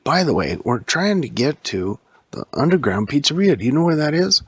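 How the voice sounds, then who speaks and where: A man doing an excitable, high-pitched video-game character. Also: normal speed, breathy edge, no room sound. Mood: eager.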